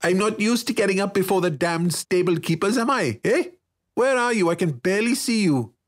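A man voicing an irritable, rough-spoken teenage ranch lad in a fantasy storybook, with a nasal voice. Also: on the high side, normal speed, pitched above the performer's own voice.

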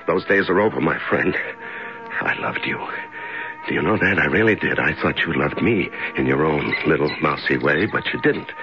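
An actor playing a weak, despairing prisoner in a radio drama, rambling and halting with bitter resignation. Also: male voice, rumbling low, animated, vocal fry, over music, exaggerated voice. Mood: wounded, bitter. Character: weak, despairing male prisoner talking to a mouse. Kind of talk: 1970s radio drama acting. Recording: dull vintage radio recording.

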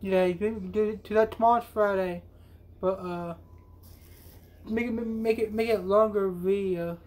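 A young adult man chatting casually on a video call, repeating himself in a halting way. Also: high-pitched, steady tone, a little mellow. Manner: repetitive, halting delivery. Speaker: amateur vlogger. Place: small room at home. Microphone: phone camera at arm's length.

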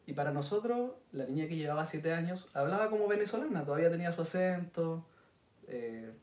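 A man in his thirties with a Chilean accent, telling stories in a relaxed podcast chat with Chilean slang. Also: fairly high pitch, a little fast, muffled recording. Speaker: podcast host. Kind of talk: casual chat. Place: indoor studio set with city backdrop. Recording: roomy sound, mic a bit distant.

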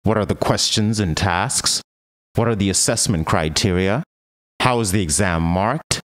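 A man with a low-pitched voice narrates a scripted training explainer. His delivery is measured and orderly, moving through the material point by point like an instructional voice-over. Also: background noise.

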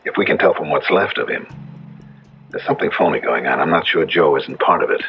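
An actor playing a practical, sceptical young city-bred husband in a 1970s radio drama. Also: male voice, a bass voice, a little fast, lo-fi sound, faint music behind. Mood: suspicious. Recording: band-limited, noisy old radio recording.

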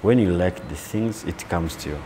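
A man's voice: low-pitched, normal speed, crowd noise. A man, answering interview questions as a sous chef. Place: indoor room with shelves, seated on camera.

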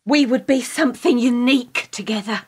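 A woman's voice: on the high side, fairly quick, breathy edge. Actress as a gushing, pleading stage-struck woman. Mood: dreamy, gushing. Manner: theatrical, insistent, pleading. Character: eager, pleading female stage-struck fan, pushy and gushing. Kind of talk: radio play acting.

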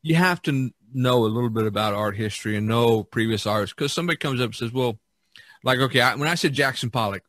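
A man's voice: mid-range voice, quick pace, fairly bright tone, no room sound. A man hosting a casual unscripted podcast, talking in a rambling way and repeating his phrases. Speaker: podcast host.

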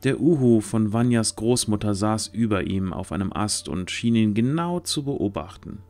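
Low-voiced male fantasy narrator. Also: expressive, normal speed, mellow, soft background music. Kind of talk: scripted storybook narration for fantasy audio drama.

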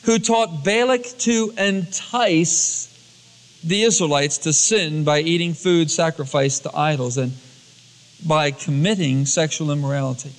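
A man's voice: fairly high pitch, fairly even intonation, measured pace. A man preaching a storytelling sermon as a pastor, acting out dialogue in everyday colloquial words. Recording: long hall reverb, cassette tape transfer.

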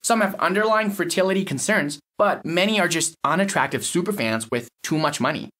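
Man playing a cynical, higher-pitched lab technician. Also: expressive, brisk, bright, forceful tone, exaggerated voice. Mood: matter-of-fact. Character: cynical, blunt male lab technician, slick explainer. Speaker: audio story character, fertility lab technician. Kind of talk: acted dialogue in an audio short story.

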